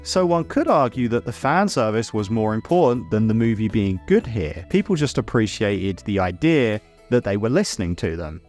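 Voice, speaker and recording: A man's voice: lowish voice, very animated, moderate pace, few pauses, a little mellow, faint music behind. A man narrating a scripted video essay as host, casual and opinionated, with wry asides and the odd swear word.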